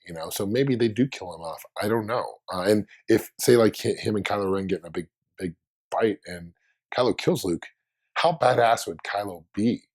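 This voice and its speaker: Man, hoarse and a bit sick, husky low voice, rambling casual fan commentary, restarts his sentences. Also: normal speed. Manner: rambling, thinking aloud with many restarts. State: hoarse, under the weather. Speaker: fan video host.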